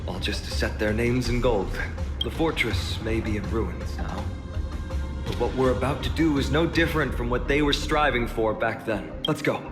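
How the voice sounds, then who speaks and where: A man plays a young heroic warrior from a fantasy game. His mid-pitched voice is sincere and easygoing with a friendly teasing edge, carried on long reverb over music. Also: normal speed, lightly acted. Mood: resolute. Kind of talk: video game character acting.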